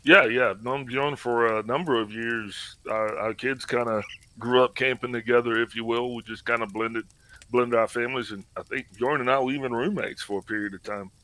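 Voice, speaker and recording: A man's voice: medium pitch, steady tone, normal speed, sharp tone. A man co-hosting a podcast, making brief, laid-back remarks a little away from the microphone. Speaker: podcast co-host. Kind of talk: casual chat.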